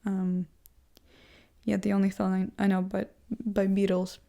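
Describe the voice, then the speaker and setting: A young woman with a first language other than English, chatting casually and self-deprecatingly as she thinks out loud, full of fillers and restarts. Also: mid-range voice, completely flat delivery, a little slow, creaky. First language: not English. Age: young adult. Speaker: vlogger. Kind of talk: casual rambling monologue. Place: seated indoors in a chair.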